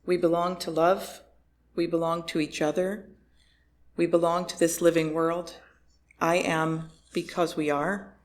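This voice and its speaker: A lay reader giving a solemn, scripted liturgical reading in a measured, deliberate manner. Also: medium pitch. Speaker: congregant reading chalice lighting words.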